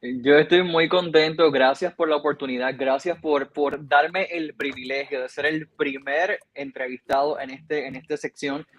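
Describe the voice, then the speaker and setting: A man's voice: on the high side, fairly even intonation, normal speed, a bit bright, muffled recording. A man in his twenties with a Puerto Rican accent, giving reflective, earnest answers as a guest in a conversational interview. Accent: Puerto Rican Spanish. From Puerto Rico. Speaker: interview guest, journalist and TV reporter.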